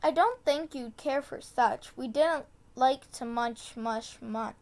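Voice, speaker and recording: A high-pitched woman reads a children's tongue-twister storybook aloud. Her pace is slow and deliberate, and she steps carefully through the tricky words, correcting herself when she trips. Casual asides slip in between the rhymes. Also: a little mellow. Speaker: storybook reader.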